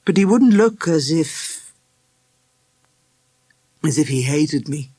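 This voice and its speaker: A storybook reader voicing a thoughtful, earnest schoolboy with a slightly halting way of talking. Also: medium pitch, expressive, dark tone, breathy edge, no echo. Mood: troubled, earnest. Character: earnest, thoughtful young schoolboy, troubled but keen.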